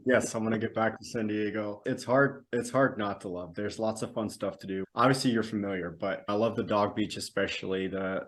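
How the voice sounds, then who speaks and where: A man from the Netherlands, a native Dutch speaker, giving reflective, explanatory answers as a podcast guest in conversation. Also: on the low side.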